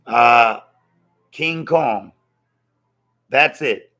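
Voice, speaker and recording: A man with a hoarse voice from yelling, ranting bluntly to camera about a boxing match and swearing freely. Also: mid-range voice, very slow, faint music behind. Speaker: vlogger reacting to a boxing match. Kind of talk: casual rant to camera.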